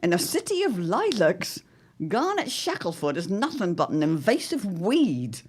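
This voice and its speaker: A woman's voice: mid-range voice, huge pitch swings, measured pace, breathy edge, heavily acted. A woman playing a witty, protective middle-aged mother of the 1910s, genteel and wry, in a period audio drama. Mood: indignant, catty. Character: arch, witty, protective society mother in 1917.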